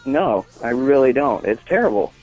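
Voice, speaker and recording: A man's voice: medium pitch, expressive, moderate pace, darkish tone, husky, dull audio, soft background music. A man giving a casual comic interview as an expert caller, matter-of-fact and opinionated.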